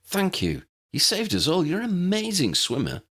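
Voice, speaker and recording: A man puts on a teenage boy hero for an audiobook. The voice is lifted well up in pitch and swings expressively. He sounds earnest, grateful and emphatic. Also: wildly swooping pitch, moderate pace, bright, forceful tone, breathy edge, heavily acted. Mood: grateful, relieved.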